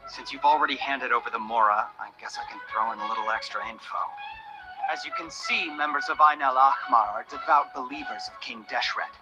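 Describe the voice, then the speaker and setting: An actor playing a shady, zealous, menacing desert mercenary in a video game, speaking in a theatrical, sneering way. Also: male voice, mid-range voice, a little fast, over loud music, lightly acted, poor audio. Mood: fervent, ominous.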